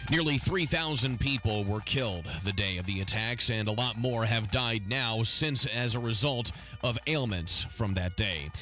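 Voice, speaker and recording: A man's voice: on the low side, moderate pace. A man reading a scripted radio news bulletin as a station newsreader. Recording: studio voice over news music bed. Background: music.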